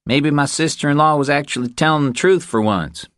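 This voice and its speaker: A man's voice: fairly high pitch, brisk, fairly bright tone, no room sound, studio quality, pitched above the performer's own voice, close to an everyday voice. A man voicing a cocky, bossy young male rancher who needles and sneers in an audiobook. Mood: sneering.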